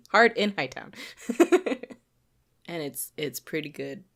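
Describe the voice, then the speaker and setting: A woman's voice: medium pitch, very animated, very slow. A woman co-hosting a podcast, chatting casually about games in an informal, giggly way. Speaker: podcast co-host.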